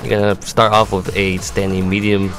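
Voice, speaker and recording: A man's voice: fairly low pitch, level tone, moderate pace. A man giving step-by-step tutorial commentary on a fighting game, explaining as a gamer presenting a demo. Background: music.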